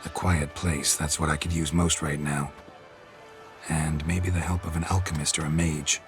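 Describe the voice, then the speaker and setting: An actor playing a stoic, world-weary monster hunter in a video game, gravelly and laconic. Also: male voice, rumbling low, moderate pace, music in the background. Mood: businesslike. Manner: laconic, deadpan, clipped sentences. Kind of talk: video game character acting.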